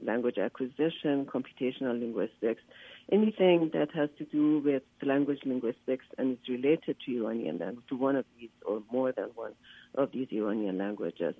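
Give me a man whose first language is Persian, speaking haltingly as a radio guest over a tinny phone line. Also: mid-range voice, husky, no echo. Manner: halting, pauses often to search for words. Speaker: linguist and conference organizer, interview guest.

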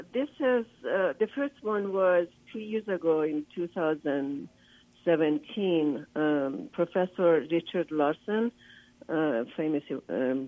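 A man whose first language is Persian, a linguist giving a radio interview by phone, often pausing to search for words. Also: on the high side, dry recording. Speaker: linguist and conference organizer, interview guest. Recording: tinny, dry phone line.

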